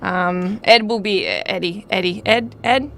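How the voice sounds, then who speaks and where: A woman's voice: mid-range voice, unhurried, gravelly, fairly bright tone, a little hiss or hum. Wry woman chatting while gaming. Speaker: livestream host and game player. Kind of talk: casual livestream chat while playing. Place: live stream gaming setup.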